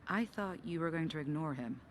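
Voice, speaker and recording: Woman, sardonic confidante, teasing, very varied pitch, video game cutscene, slight background noise. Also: expressive, fairly quick. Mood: curious, probing. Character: teasing, sardonic female confidante of a racing driver.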